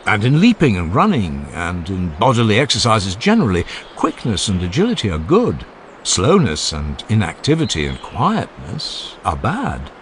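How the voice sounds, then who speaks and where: A man playing an older philosopher who questions patiently and courteously in formal, measured phrases. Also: on the low side, wildly swooping pitch, a little hiss or hum. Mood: reasoning. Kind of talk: dramatized philosophical dialogue.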